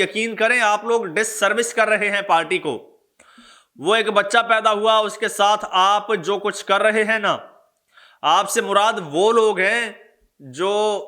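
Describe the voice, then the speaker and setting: A man's voice: high voice, pushed and bright. A man with a Pakistani accent hosting political news commentary for his viewers, speaking emphatically and stressing his points through repetition. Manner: emphatic, repeats phrases for stress. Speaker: political news vlogger, host.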